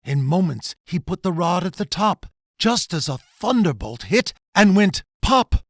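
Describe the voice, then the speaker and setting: A man reading his own rhyming children's storybook in a dramatic, expressive way. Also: high voice, a little slow, dry recording, studio quality.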